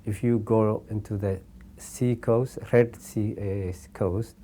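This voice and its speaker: A man, a documentary interviewee explaining things in a careful, deliberate way. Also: on the low side, steady tone, mellow, slight background noise. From Somalia. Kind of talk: explaining to camera.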